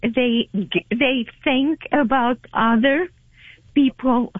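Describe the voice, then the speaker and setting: A woman caller with a French accent, whose first language is French, speaking earnestly with halting repetitions. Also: sits high, steady tone, dry recording. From immigrant to Canada. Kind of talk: phone-in call. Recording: tinny phone line.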